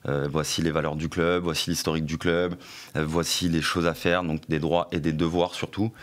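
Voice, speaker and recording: A man's voice: rumbling low, flat delivery, moderate pace. A middle-aged man, a former club press officer, answering questions on a radio talk show with many fillers and restarts.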